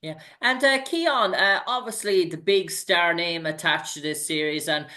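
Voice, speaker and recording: Rambling interviewer with a bright voice. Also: male voice, high-pitched, unhurried. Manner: rambling, hesitant questions with many "uh"s. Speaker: interview host. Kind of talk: interview questions.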